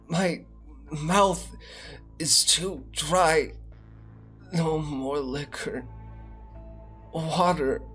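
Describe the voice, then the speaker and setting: A man's voice: a little high, fairly even intonation, very slow, long pauses, fairly bright tone, soft background music, voice pushed up. A man voicing a weary, parched old woman who chain-smokes and begs for water in a dry, strained voice. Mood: pleading.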